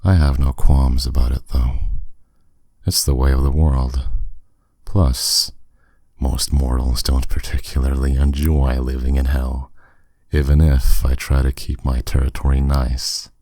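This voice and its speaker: A man playing a powerful, courteous demon captor in an ASMR roleplay, speaking with smooth, teasing, condescending authority. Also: a bass voice, level tone, dark tone, dry recording, studio quality, exaggerated voice. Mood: calm, matter-of-fact. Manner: smooth, condescending, unhurried, with endearments.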